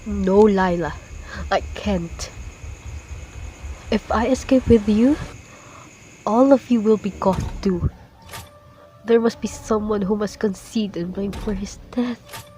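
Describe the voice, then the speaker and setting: A woman voicing a gentle, self-sacrificing older wife in a student radio play, soft and pleading. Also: medium pitch, takes it slowly, pauses often, a little hiss or hum, lightly acted, poor audio. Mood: resigned. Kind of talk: amateur radio play acting.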